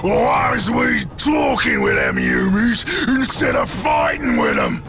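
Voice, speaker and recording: A belligerent ork warlord from a video game, roaring in a rough, gravel-filled voice. The speech is crude and blustering, heaved out slowly with huge swings of pitch. Music plays behind. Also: male voice, huge pitch swings, exaggerated voice. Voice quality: gravelly, shouted. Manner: crude grammar, bellowing, blustering. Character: brutish, belligerent ork warboss spoiling for a fight. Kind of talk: video game cutscene acting.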